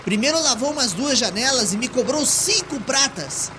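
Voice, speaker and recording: A woman's voice: high-pitched, lively intonation, normal speed, fairly bright tone, a little hiss or hum, pitched above the performer's own voice. A woman voicing an exasperated, stubborn, sarcastic male homeowner with an indignant manner in an audiobook drama. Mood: incredulous, indignant. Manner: indignant, sardonic. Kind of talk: audiobook character dialogue.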